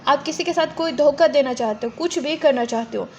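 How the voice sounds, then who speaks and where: High-pitched Pakistani woman lecturing on a noisy recording. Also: a little fast, highs cut off. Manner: didactic, often asks and answers own questions. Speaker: law lecturer. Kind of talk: explanatory lecture, reading provisions aloud and explaining them.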